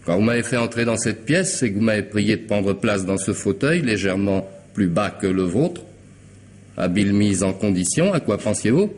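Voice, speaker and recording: An actor playing a coolly polite, intelligent hired killer in a radio mystery drama, speaking deliberately and sardonically, with commanding theatrical pauses. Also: male voice, lowish voice, expressive, a little mellow, lightly acted. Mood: sardonic, menacing. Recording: noisy old radio drama recording.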